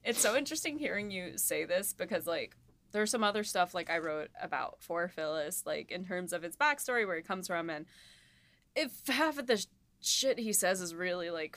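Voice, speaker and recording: Female podcast host, low-pitched, informal, gushing, filler-heavy enthusiasm. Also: fairly bright tone, no room sound. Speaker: podcast host, series writer. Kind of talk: casual chat.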